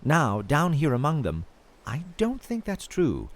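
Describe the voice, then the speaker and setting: A man playing an eerily fascinated field researcher in an audio drama, recording a halting, wondering monologue as he slips toward madness. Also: a little high, wildly swooping pitch. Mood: intrigued, uneasy.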